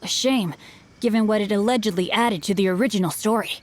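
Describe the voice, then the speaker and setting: A woman's voice: mid-range voice, quick pace, natural voice. A woman voicing a polite, bookish teenage boy in a video game, with flowery, formal manners and music behind. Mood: courteous, eager. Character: polite, bookish teenage boy, refined young martial-arts enthusiast.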